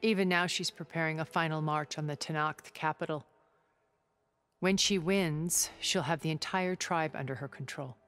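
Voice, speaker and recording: An actress playing a poised, cultured immortal woman in a video game cutscene, speaking formally and persuasively with measured, condescending gentility. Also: sits low, fairly even intonation, a bit bright. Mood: coolly amused. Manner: formal, genteel, measured phrasing. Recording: background music under the dialogue.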